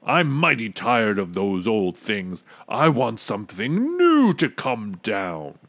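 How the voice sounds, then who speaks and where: A cranky old king from a storybook, growling and bellowing at everyone, voiced by a man reading the tale. His lines swing widely in pitch and sound set back from the mic. The room around him is dry and dead. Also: huge pitch swings, slow, a bit bright, no room sound, full character voice. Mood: angry, bellowing. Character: grumpy old king, loud and demanding.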